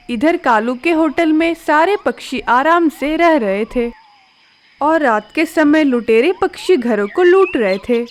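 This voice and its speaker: High-voiced woman narrating a cartoon story. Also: a little hiss or hum. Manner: steady, clear storytelling.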